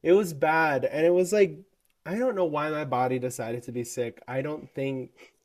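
A young man co-hosting a casual podcast, joking in a dry, deadpan way and drawing out his words. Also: a little high, moderate pace. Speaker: podcast co-host. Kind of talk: casual chat.

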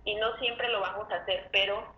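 A woman's voice: mid-range voice, steady tone, fairly quick. A woman gynecologist and surgeon, giving earnest, emphatic advice in a podcast chat. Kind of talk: casual podcast conversation. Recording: dull roomy line with faint noise.

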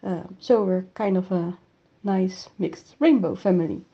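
A woman's voice: lowish voice, a little slow, gravelly, breathy edge, lo-fi sound. A woman with a Dutch accent, casually sharing personal stories as an interviewee. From Netherlands. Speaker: interviewee sharing her relationship story.

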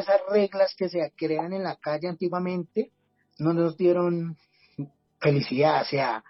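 A man's voice: a little high, husky, dry recording, muffled recording. A man from Bogotá with a Colombian accent, sharing his personal story earnestly and reflectively as a podcast guest, often restarting his words. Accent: Bogotá Colombian Spanish. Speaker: guest, community project leader. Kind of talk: personal testimony in a podcast conversation.

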